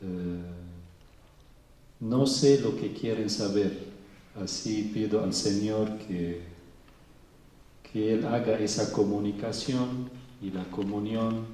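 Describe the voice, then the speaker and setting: A middle-aged man from Venezuela speaking slowly and thoughtfully at a public talk, pausing often. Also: on the low side, warm tone, slight background noise. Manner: slow and thoughtful, with pauses and fillers. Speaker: Orthodox bishop giving a talk. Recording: echoey hall, mic far off.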